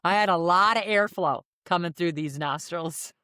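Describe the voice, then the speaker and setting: A female podcast host who chats easily and laughs often. Her voice is fairly low and is recorded dry and close to the mic. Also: moderate pace, bright, forceful tone, clear, resonant tone, no room sound. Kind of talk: casual podcast hosting.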